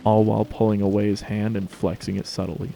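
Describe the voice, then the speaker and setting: A man's voice: on the low side, little pitch movement, brisk, vocal fry, warm tone, a little hiss or hum. A man narrating a story as a scripted dramatic reading.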